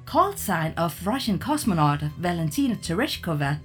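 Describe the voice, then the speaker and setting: A woman's voice: lowish voice, big pitch swings, moderate pace, faint music behind. A woman playing a polite, learned non-human being in a sci-fi audio drama, speaking formally. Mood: courteous. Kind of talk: science fiction audio drama acting.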